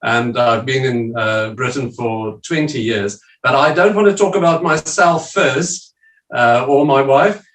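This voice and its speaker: A man's voice: on the high side, roomy sound, recorded from a distance, low-quality recording. A South African man presenting to a church group over a video call, speaking hesitantly with many fillers. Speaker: visiting church leader presenting. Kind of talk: casual talk on a video call.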